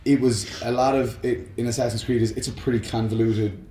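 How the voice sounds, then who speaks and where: A man's voice: mid-range voice, fairly even intonation, a little fast, warm tone. Man chatting in an echoey room. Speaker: podcast co-host. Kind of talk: casual chat about video game films. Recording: roomy echo, music underneath.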